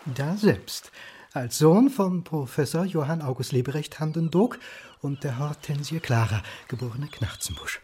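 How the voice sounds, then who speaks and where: A man acts out a formal, pedantic professor in a radio play. His voice sits fairly high for a man and is very soft and mellow, with unfailingly polite, old-fashioned phrasing. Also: lively intonation, moderate pace, a little hiss or hum, close to an everyday voice. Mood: politely eager. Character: courteous, formal male German professor of lycanthropy.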